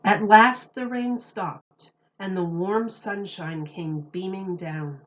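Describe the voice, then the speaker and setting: Female storybook narrator, teacher style: very slow, emphatic, expressive delivery, mid pitch, slightly dull recording. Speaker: teacher reading aloud.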